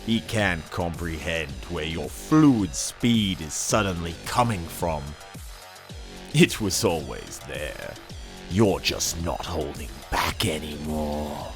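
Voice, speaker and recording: Man, very low voice, sweeping intonation, grave theatrical narration, deliberate delivery, soft music underneath. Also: expressive. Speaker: narrator reading a novel excerpt. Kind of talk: dramatic scripted narration in second person. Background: cinematic soundtrack music.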